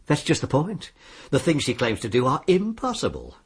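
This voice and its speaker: A suave, sly British crooked lawyer, husky. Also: male voice, medium pitch, wildly swooping pitch, normal speed, full character voice. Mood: smoothly persuasive. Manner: smooth-talking, insinuating, politely formal. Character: suave, sly disbarred lawyer arranging sinister bets on deaths. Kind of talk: radio drama acting.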